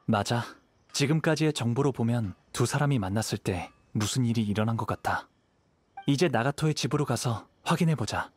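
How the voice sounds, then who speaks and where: Calm, courteous young game swordsman. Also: mid-range voice, fairly quick, husky. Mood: thoughtful. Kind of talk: video game voice acting.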